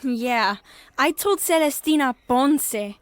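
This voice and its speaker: An actress playing a bold, quick-witted time-travelling girl in an audio drama, sounding sassy and youthful. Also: high voice, lively intonation, smooth, no echo. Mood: eager, confiding. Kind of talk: scripted audio drama acting.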